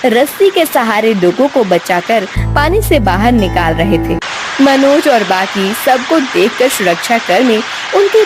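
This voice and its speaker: A woman's voice: a little high, poor audio. A woman narrator reading a scripted children's story.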